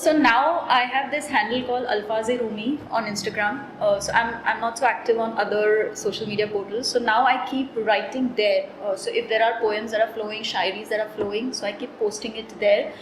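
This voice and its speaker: A woman's voice: fairly high pitch, fairly even intonation, a little fast, hardly any pauses, background noise. Chatty woman in an echoey cafe. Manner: thinks aloud, lots of "you know" and "uh", acts out her inner voices. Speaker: author being interviewed. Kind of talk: casual reflective interview talk.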